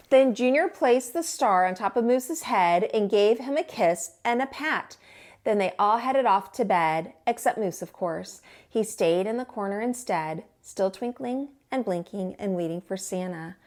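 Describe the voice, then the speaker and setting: A woman reading a picture book aloud as a teacher would at storytime, expressive, with theatrical drawn-out emphasis on words. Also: medium pitch.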